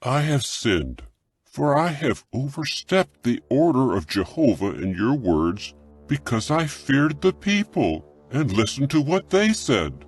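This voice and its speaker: A man's voice: medium pitch, sing-song, measured pace, breathy edge, faint music behind, voice pushed up. A man voicing an anxious, self-justifying king who pleads earnestly in a dramatized scripture reading. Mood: contrite pleading.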